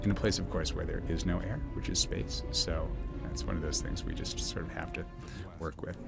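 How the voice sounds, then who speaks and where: A man with a fairly low voice speaks as a sound designer in a behind-the-scenes interview. He phrases things deliberately, with pauses between thoughts. Music is clearly heard behind him. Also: steady tone.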